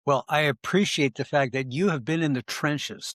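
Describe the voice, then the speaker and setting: A man's voice: a little high, normal speed, fairly bright tone, dry recording. Male podcast host, dry close sound. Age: middle-aged. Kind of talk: podcast interview.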